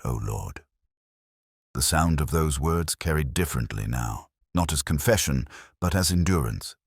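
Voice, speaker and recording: Guy playing world-weary older detective narrating, super deep voice, melancholy and measured, really dry close sound. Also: slightly rough, dry recording, full character voice. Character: weary, reflective older male police detective recalling a case. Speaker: first-person narrator. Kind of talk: scripted dramatic narration, detective audio drama.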